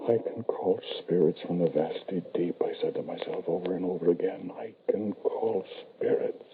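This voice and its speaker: A man's voice: deep voice, normal speed. An actor playing a frail, grieving older father, hesitant and pleading, in a 1940s radio horror style. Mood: stunned dread. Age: middle-aged or older. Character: frail, grieving father, anxious and desperately loving. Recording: noisy, tinny old-time radio recording.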